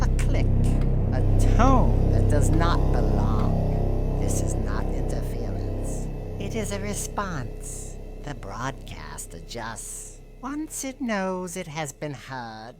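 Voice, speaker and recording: A woman delivering scripted analog horror narration in short, deliberate, ominous phrases. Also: a bass voice. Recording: music behind the voice. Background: loud music.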